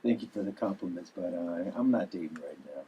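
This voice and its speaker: A woman reading the part of an awkward, polite, recovered relative with a halting delivery in a drama table read. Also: a bass voice, dark tone, dull audio, slight background noise.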